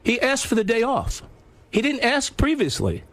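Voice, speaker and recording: A man in a heated on-air argument, talking in a defensive, agitated, halting way. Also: very high voice, huge pitch swings, a bit bright, dry recording. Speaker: radio show staff member.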